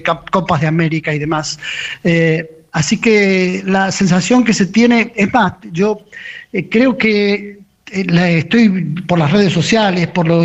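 An Argentine man with a notably high voice reports as a radio correspondent. He speaks without a script, often repeating phrases while he finds the next word. The remote link sounds dull and narrow. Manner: hesitant, repeats phrases as he searches for words. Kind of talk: unscripted live radio report.

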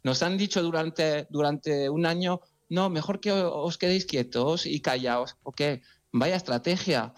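Earnest man, halting and emotional. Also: on the high side. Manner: halting, many restarts, earnest. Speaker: interviewee. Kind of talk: emotional phone-in interview.

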